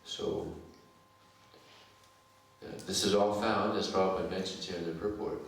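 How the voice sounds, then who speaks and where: Deep-voiced man preaching in an echoey hall. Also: steady tone, creaky. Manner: unhurried, halting phrasing with Sanskrit recitations. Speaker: visiting speaker giving a scripture class. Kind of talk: sermon. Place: temple hall. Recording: very echoey hall, distant mic, faint hiss.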